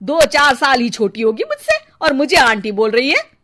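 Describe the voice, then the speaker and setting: A woman voicing a cranky, sharp-tongued older Indian mother-in-law in a Hindi cartoon story, with a scolding, sarcastic manner. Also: sits high, wildly swooping pitch, normal speed, exaggerated voice. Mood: indignant.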